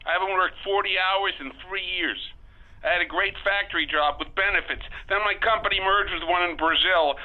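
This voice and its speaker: An actor playing a blunt, bitter out-of-work caller to a radio phone-in, heard through a telephone filter. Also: male voice, high voice. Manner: blunt, grim, fatalistic. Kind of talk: radio phone-in in an audio drama. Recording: thin, band-limited phone line.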